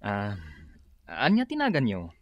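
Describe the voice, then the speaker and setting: An actress playing a polite, hesitant credit investigator in a comedic radio drama, speaking deferentially. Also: female voice, very deep voice, huge pitch swings, unhurried, dry recording, dull audio, heavily acted. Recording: music behind the dialogue.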